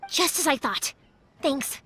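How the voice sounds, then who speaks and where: An actress playing a spirited, caring young heroine in an anime game, earnest and expressive. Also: female voice, high voice, slow pace, slight background noise, exaggerated voice. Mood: determined. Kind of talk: acted anime game dialogue.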